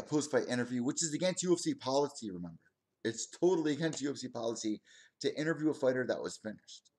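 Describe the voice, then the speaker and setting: A man in his twenties hosting his own combat sports podcast, talking in a rambling, excitable way with lots of asides. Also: mid-range voice. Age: 20s. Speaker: podcast host. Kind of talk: casual solo stream commentary.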